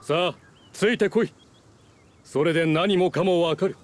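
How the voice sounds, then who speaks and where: A man's voice: on the high side, measured pace, a little hiss or hum, lightly acted. A man voicing a haughty, scheming nobleman in a Japanese video game, speaking formally and condescendingly. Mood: smug, assured. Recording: game music behind the voice.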